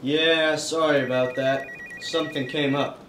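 A man's voice: fairly high pitch, fairly even intonation, measured pace, a bit bright, roomy sound, far from the mic, slight background noise. A man playing a hard-boiled private detective who narrates with wry, world-weary asides in a church radio play. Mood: smooth-talking. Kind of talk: noir radio-drama narration.

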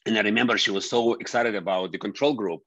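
A deep, low-voiced man from Georgia speaks from a home office over a video call. The sound is dry and dull, with little room in it. He talks in a relaxed, halting way, restarting phrases as he hunts for the right word. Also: no room sound. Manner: halting, restarts phrases while searching for words. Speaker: scientist guest. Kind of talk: relaxed interview answers.

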